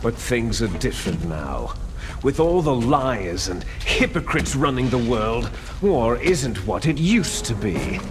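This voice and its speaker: Game villain: proud warmonger, grandiose and taunting, slow delivery over noticeable noise. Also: male voice, medium pitch, very animated. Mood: grandiose, impassioned. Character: bitter, theatrical male soldier villain, proud warmonger. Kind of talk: dramatic video game acting.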